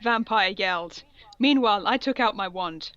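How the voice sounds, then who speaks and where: A woman's voice: medium pitch, normal speed, fairly bright tone, no room sound, muffled recording. A woman co-host reading fan fiction aloud in a comic dramatic style, mock-serious and amused, with casual sweary asides.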